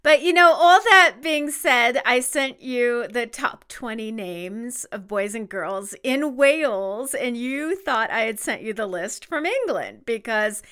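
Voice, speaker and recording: A woman hosts a podcast and puts curious, conversational questions to her guest. She speaks at an unhurried pace, and her voice is smooth and clean. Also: a little high, animated, fairly bright tone. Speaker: podcast host. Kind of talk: interview questions.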